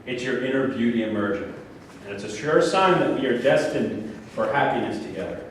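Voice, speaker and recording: A man's voice: medium pitch, brisk, lightly acted. An actor playing a delusional, manipulative murderer obsessed with a woman, declaiming in a grandiose, preachy way in a stage play reading. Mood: seductive, intense. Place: large room at a staged reading. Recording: very echoey room, far mic, faint noise.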